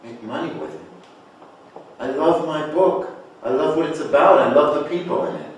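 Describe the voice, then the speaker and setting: A man's voice: on the high side, normal speed, dull audio, close to an everyday voice. A man playing a wounded, defiant writer who accuses his friend, in a table read of a stage play. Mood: impassioned. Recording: echoey room, distant mic, faint noise.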